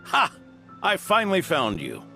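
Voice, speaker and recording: A man's voice: fairly high pitch, wildly swooping pitch, unhurried, gravelly, bright, forceful tone, faint music behind, full character voice. An actor playing an elderly, kindly knight in a video game, fatherly and reassuring. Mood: warm, gently chiding. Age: older. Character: kindly, brave elderly male knight, gentle grandfather.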